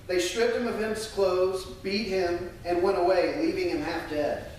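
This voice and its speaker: An American man speaking earnestly and a little haltingly to a congregation, as a church member sharing testimony and scripture. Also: high voice, fairly even intonation, a little mellow. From United States. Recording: very echoey room, distant mic, faint noise.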